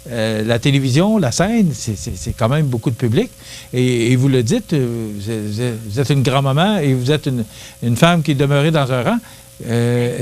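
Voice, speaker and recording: Halting TV host. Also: male voice, medium pitch, darkish tone. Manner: halting, many restarts and fillers. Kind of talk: local TV interview.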